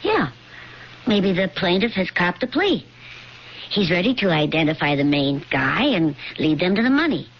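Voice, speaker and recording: A woman acting in a radio drama as an affectionate, inquisitive wife, expressive and gently probing in her questions. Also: on the low side, moderate pace. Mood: wry, caring. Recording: dull, hissy old radio drama recording.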